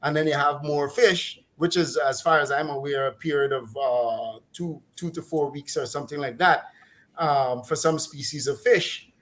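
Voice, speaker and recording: Guyanese guy venting, fairly high voice, scoffing and laughing as he goes. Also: moderate pace, fairly bright tone. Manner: exasperated, mocking, laughs while ranting. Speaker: commentator on a talk program. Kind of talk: casual opinionated chat.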